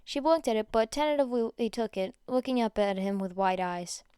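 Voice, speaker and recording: A woman reads a story as an expressive narrator. Her voice is high and very clear, recorded dry and close. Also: fairly quick, no room sound. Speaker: fan fiction audiobook narrator.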